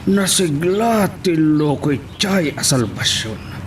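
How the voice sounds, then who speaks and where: Slow, swooping, domineering male mayor, acted by a man. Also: on the high side, lively intonation, noisy background. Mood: scornful. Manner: bullying, threatening. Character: angry, domineering town mayor, a man, demanding his child. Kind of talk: Ilocano audio drama acting.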